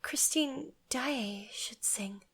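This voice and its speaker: Woman as an anxious high-voiced young opera singer. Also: extremely slow, a bit bright, husky, full character voice. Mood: resigned, reluctant. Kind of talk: audio drama acting.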